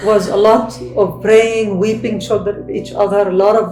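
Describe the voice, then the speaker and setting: A woman recounting a moving moment in an animated way, repeating what others said. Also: medium pitch, fairly quick, reverberant, soft background music. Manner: animated storyteller, recounts scenes with quotes. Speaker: panel speaker. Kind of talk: storytelling conversation.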